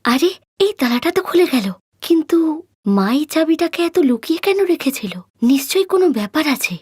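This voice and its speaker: A woman's voice: sits high, very animated, fairly quick, darkish tone, dry recording, clean studio sound. A woman voicing a timid, hurt orphaned college girl in a cartoon story, speaking in a meek, pleading way. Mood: puzzled.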